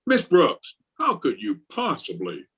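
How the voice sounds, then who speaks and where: Middle-aged blustering school principal for radio comedy male actor: pompous, exasperated, very animated intonation. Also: a little high, measured pace, slightly rough, full character voice. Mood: blustering, outraged. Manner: pompous, indignant, theatrical. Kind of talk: old-time radio comedy acting. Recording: remote video-call recording.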